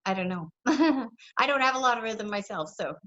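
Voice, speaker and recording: A woman's voice: on the high side, lively intonation, normal speed, pushed and bright. A woman co-hosting on a video call, introducing the guest with enthusiasm. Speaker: co-host. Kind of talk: show introduction and chat. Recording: dull lo-fi video call sound.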